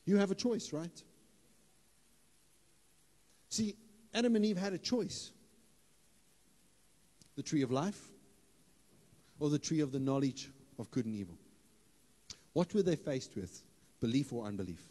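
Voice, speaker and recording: A man delivers a sermon from a church stage, holding a handheld mic. His voice sits somewhat high and he shifts from easy, joking asides to loud, emphatic declarations. The hall adds a long reverb to every line. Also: a little slow, long pauses. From South Africa. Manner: animated, playful, rises to loud emphatic bursts. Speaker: pastor.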